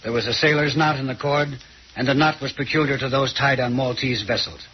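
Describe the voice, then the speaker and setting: Man acting a shrewd detective, dramatic lecturing delivery, mid-pitched voice, old radio drama, muffled sound, noisy background. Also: steady tone, fairly quick, natural voice. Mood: explaining. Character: confident, theatrical male detective, shrewd and condescending.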